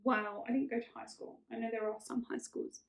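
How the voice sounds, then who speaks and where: A woman's voice: on the high side, fairly even intonation, brisk, vocal fry, breathy edge. A woman in her twenties, chatting casually and rambling through get-to-know-me questions, often correcting herself. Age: 20s. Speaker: vlogger.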